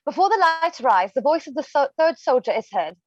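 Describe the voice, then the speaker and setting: A woman's voice: sits high, big pitch swings, fairly quick, slightly rough, no room sound, dull audio. A woman narrating scripted stage directions for a play reading.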